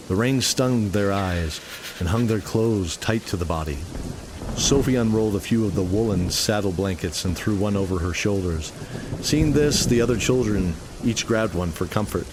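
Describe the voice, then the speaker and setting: A man's voice: on the low side, noisy background. A man narrating a scripted fantasy radio play in an earnest, dramatic storytelling style.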